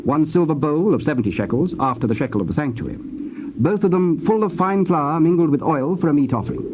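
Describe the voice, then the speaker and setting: A British man narrates scripture in a formal, measured way, with a fairly high voice. The recording is a dull old cassette with clear background noise. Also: animated.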